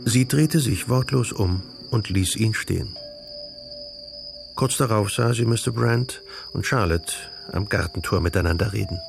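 A man's voice: fairly low pitch, a little slow, vocal fry, music in the background. A man reading scripted literary narration as the narrator of a radio drama.

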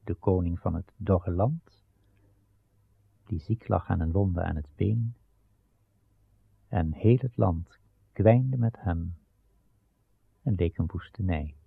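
A man narrating a radio drama as a solemn storyteller, measured and grave with a gravelly voice. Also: low-pitched, no room sound. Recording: tinny, band-limited old radio recording.

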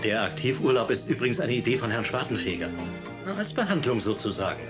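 A man's voice: mid-range voice, big pitch swings, fast, music in the background, natural voice. A man playing a cheerful, teasing and encouraging father of a young boy in a German radio play. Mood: upbeat.

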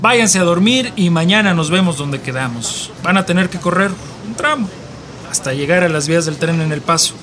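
Guy acting tough mexican smuggler boss, radio play, northern accent, slangy, highish voice, noisy background. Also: expressive, normal speed. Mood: businesslike. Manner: slangy, bossy street talk. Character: hard-nosed Mexican migrant smuggler, wary, menacing boss.

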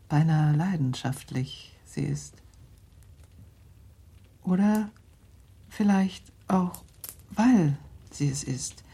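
An actress playing a shrewd, worried middle-aged aristocratic mother in a radio play, talking in a measured, confiding way that sometimes sinks to a whisper. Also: sits low, vocal fry, dark tone, breathy edge, exaggerated voice. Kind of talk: 1960s German radio drama acting.

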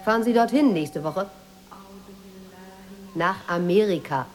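A proud, self-possessed woman in a radio drama. Her voice is on the low side, and she speaks bluntly, with short, probing questions. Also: expressive, unhurried, faint music behind. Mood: probing, cool. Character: proud, blunt, inquisitive Moroccan woman seeking work.

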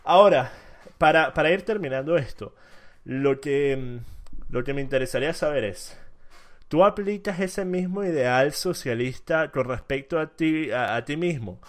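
A man's voice: a little high, measured pace. Assertive Venezuelan man in a debate. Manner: assertive, emphatic. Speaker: debate host. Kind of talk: casual debate.

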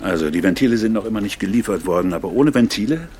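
An actor playing an exasperated, condescending engineer who complains scornfully in a radio drama. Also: male voice, on the low side, lively intonation, racing, a little mellow, a little hiss or hum, natural voice.

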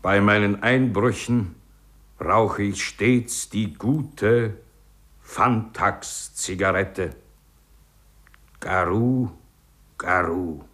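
Very slow, smug burglar pitchman. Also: a bit bright. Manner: measured slogan delivery. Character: self-satisfied burglar advertising cigarettes. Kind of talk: mock radio advert in a 1950s play.

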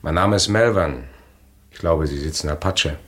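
An actor playing detectives and frightened men in old radio crime dramas, unstrained delivery. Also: male voice, rumbling low, moderate pace. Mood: tense. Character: adult male characters, from a frightened coward to a hard-boiled detective.

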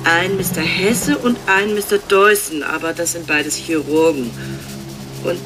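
Female hospital administrator, played by an actress, radio play: obliging, careful, lower-pitched, over music. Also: a little slow, lightly acted. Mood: helpful. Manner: hesitant, formal, cooperative. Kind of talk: radio drama acting.

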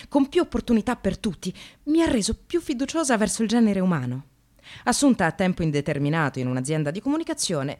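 Actress as bitterly ironic precarious woman, expressive swings. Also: mid-range voice, lightly acted. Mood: wry recollection. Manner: sardonic, emphatic, confessional. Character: precarious receptionist, disillusioned separated mother, bitterly ironic. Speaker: actress narrating a monologue. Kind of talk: theatrical radio drama monologue.

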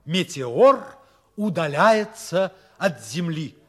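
A man's voice: a little high, animated, slow, a bit bright, exaggerated voice. An actor playing an urbane male author who narrates his tale with wry theatrical flair in a 1960s radio drama. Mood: wry, dramatic.

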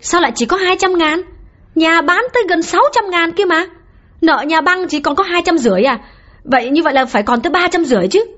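A woman's voice: fast, a bit bright. A woman playing a bitter, betrayed older wife. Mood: shocked. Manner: shrill, sobbing complaints and curses. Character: aging betrayed wife, bitter and grief-stricken. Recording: slightly dull, faint background music.

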